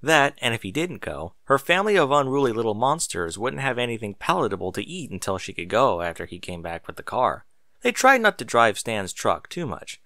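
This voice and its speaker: A male narrator reads a story with lively, swinging intonation. His voice is bright and forward, recorded dry and close, and his storytelling is expressive. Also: medium pitch, brisk, no echo. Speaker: audiobook narrator.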